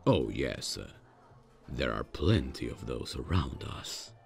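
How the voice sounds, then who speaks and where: An extremely deep-voiced male demon, acted by a man, in a fantasy audio roleplay. He talks very slowly and stiffly, deadpan and awkward yet menacing, with a gravelly creak in the voice. Music plays faintly behind him. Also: full character voice. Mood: dry, curious. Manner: slow, stilted, halting, deadpan.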